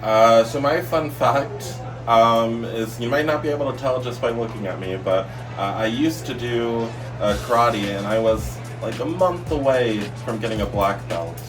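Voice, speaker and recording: A man's voice: medium pitch, normal speed. A young man who teaches history, speaking earnestly with many hesitations. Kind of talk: interview clip. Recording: distant mic with music bed.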